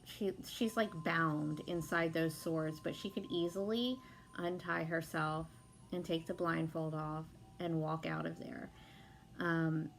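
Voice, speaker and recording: A young woman with a hoarse voice from being sick, chatting casually as she gives a tarot reading, with lots of fillers and some swearing. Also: fairly low pitch, a little hiss or hum. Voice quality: hoarse, gravelly. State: sick, losing her voice. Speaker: tarot reader.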